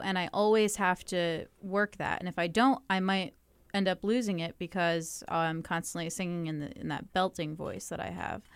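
Young woman vocalist, lowish smooth voice, chatty, laughs easily, dry close mic. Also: dry recording. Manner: chatty, laughs often, fillers like "um" and "like". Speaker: singer. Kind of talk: casual interview answers.

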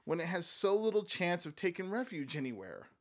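Man playing a fretful English lady, protests in a high lively voice. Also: brisk. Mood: pleading. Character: fussy, anxious English lady of the house.

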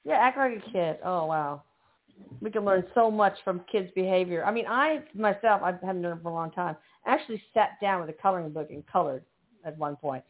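A woman's voice: lowish voice, a little fast. Chatty woman host on a phone line. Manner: upbeat, encouraging, chatty. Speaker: radio show host. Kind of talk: casual call-in radio talk.

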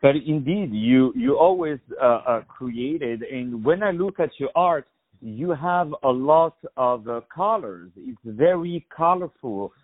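A man's voice: medium pitch, animated, takes it slowly, phone-quality sound. A man hosting a radio interview, effusive and full of praise, often repeating his words as he talks. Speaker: radio host.

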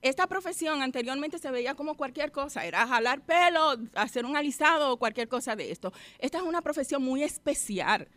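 A middle-aged woman speaks in a fairly high voice, captured dry and close. She answers interview questions as a guest, in an earnest, explanatory way. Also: expressive, brisk, hardly any pauses, a bit bright, no room sound. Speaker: guest, hairstylist and women's organization leader.

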